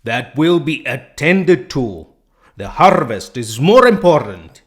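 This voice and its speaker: A man voicing a domineering boar leader in a storybook reading, with loud, commanding oratory. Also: fairly high pitch, sing-song, slow, pitched above the performer's own voice.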